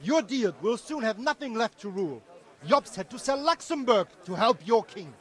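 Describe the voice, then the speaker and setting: A very high-pitched, opinionated townsman argues in a video game scene. He declaims with animated, swinging intonation, as if lecturing a crowd. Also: normal speed. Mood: argumentative. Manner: argumentative, declamatory. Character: opinionated townsman loudly defending the rival king. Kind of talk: video game cutscene acting.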